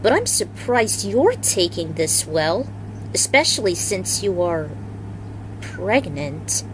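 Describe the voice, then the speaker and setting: A woman's voice: fairly low pitch, very animated, slow pace, a little hiss or hum, poor audio. A woman voicing a casual, wordy, slangy male action hero in a story reading. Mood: casual, musing. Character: casual, chatty male action hero and would-be film director.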